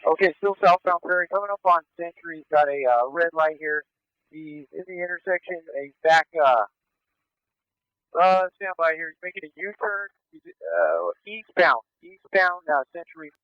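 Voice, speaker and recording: A man on police radio calling out pursuit directions live, halting and clipped, often repeating street directions. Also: on the high side, expressive, clear, resonant tone, no echo. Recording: muffled police scanner radio audio.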